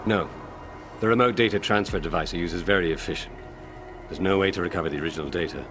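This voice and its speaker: An actor playing a guilt-ridden, protective police lieutenant in a video game, speaking in an earnest, guarded, halting way. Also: male voice, sits low, music in the background, lightly acted. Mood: wary. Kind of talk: video game dialogue acting.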